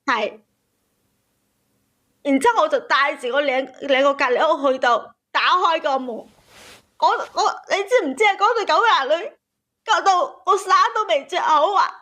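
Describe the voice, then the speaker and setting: A woman's voice: sits high, moderate pace, bright, forceful tone. A woman calling in to tell her grievance in an agitated, indignant way, repeating words for emphasis. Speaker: caller telling a family grievance. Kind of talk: emotional call-in storytelling.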